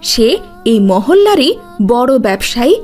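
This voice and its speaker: A woman's voice: fairly high pitch, sing-song, moderate pace, darkish tone. A woman narrating a scripted cartoon story dramatically, phrase by phrase.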